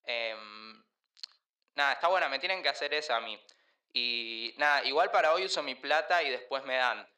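An Argentine actor playing an awkward, evasive teenage son who rambles hesitantly in slang in an audio drama. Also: male voice, mid-range voice, expressive, normal speed, bright, forceful tone. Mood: awkward, wheedling. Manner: hesitant, rambling, full of fillers. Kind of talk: naturalistic audio drama acting.

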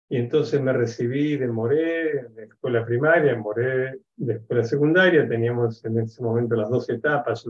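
A man in his sixties from Argentina with an Argentine accent, speaking as an interview guest on a video call from his home office, in a measured, reflective way with many hesitations. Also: medium pitch, darkish tone, lo-fi sound. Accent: Argentine Spanish. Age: 60s. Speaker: guest, education expert. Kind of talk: interview conversation.

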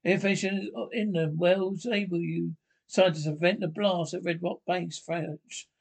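A man with a distinctly high voice reads a radio play script aloud. He moves through it haltingly, tripping on words and doubling back to repeat them. Speaker names get read out along with the dialogue. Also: steady tone, rough recording. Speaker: amateur script reader.

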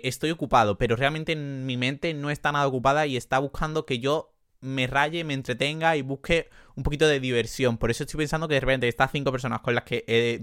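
A young man with an Andalusian accent hosting a casual solo podcast from a bedroom, chatty and self-mocking, quick to laugh. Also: mid-range voice, fairly bright tone, clean tone, no room sound, clean studio sound. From Andalusia, Spain. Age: young adult. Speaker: podcast host. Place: his sister's bedroom.

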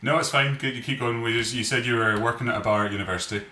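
A man's voice: medium pitch, very fast, sharp tone. Man, distant in a roomy space. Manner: brief, steering the conversation. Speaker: podcast co-host. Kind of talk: casual interview chat. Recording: roomy, distant mic, background noise.

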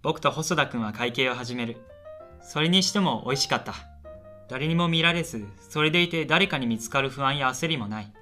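A man's voice: medium pitch, animated, a little fast, pushed and bright, faint music behind. A man playing a shy, lonely university student in a radio drama, earnest and chatty in both dialogue and narration.

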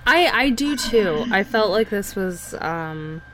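A woman co-hosting a movie podcast, speaking at an everyday middle pitch. She sounds relaxed and agreeable, and she swears casually as she chats. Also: takes it slowly, a crowd in the background, rough recording. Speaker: podcast co-host. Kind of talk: casual chat.

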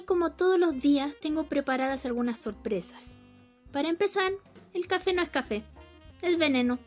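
A young Chilean woman plays a bored young wife, high-voiced and theatrical, swinging between wry musing and mock grandeur. Faint music plays beneath her radio-play monologue. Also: a little mellow. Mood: sly scheming. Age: young adult. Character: young bored wife plotting against husband. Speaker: student actor. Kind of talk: student radio drama acting.

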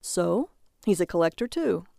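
A woman's voice: fairly low pitch, sing-song, moderate pace, creaky, mellow, no echo, studio quality. A woman voicing a childish, hapless husband who protests with eager, indignant emphasis. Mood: defensive.

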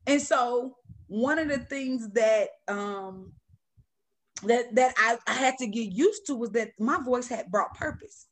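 A woman with a Chicago accent and a deep, raspy, gritty voice, co-hosting a casual chat about spirituality and speaking with authority. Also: takes it slowly. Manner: animated, laughs often, speaks with authority. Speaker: podcast co-host.

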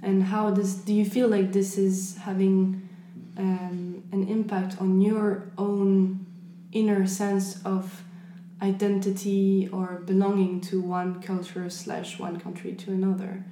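Woman podcast host, even and warm-toned, hesitant questions, echoey room. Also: medium pitch, slow pace, hardly any pauses, slight background noise. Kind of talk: interview questions.

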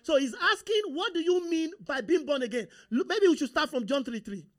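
A man's voice: very high voice, expressive, a little fast. A male preacher with a Nigerian accent, whose first language is Yoruba, giving a sermon and constantly asking the congregation questions and for agreement. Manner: call-and-response, rhetorical questions to the congregation.

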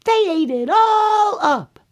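Tiny baby bear from storytime, whiny kid voice, high n loud. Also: female voice, expressive, slow, lo-fi sound, full character voice. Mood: upset. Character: small, plaintive young bear cub.

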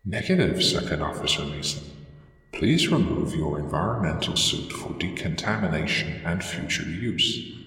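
A man voicing a cold, implacable ship's AI in a sci-fi audio drama, speaking in a formal, impassive, measured way. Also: very deep voice, animated, sharp tone, heavily acted. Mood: clinical, detached. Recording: echoing, distant, long reverberant tail.

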